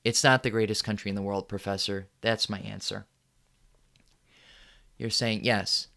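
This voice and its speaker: An actor playing a blunt middle-aged news anchor who answers a panel in a cutting way. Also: male voice, fairly low pitch, fairly even intonation, moderate pace, gravelly, pushed and bright.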